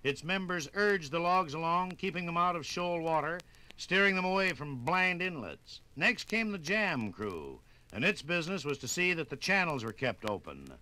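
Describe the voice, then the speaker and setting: A man's voice: a little high, expressive, moderate pace, pushed and bright, poor audio. An American man narrating a scripted 1950s radio documentary in a dramatic, folksy storytelling manner.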